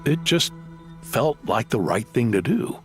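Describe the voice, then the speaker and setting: An actor playing a kindly, thoughtful inventor in a video game, speaking gently and patiently like a father figure. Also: mid-range voice, very animated, measured pace, faint music behind. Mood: hesitant, warm.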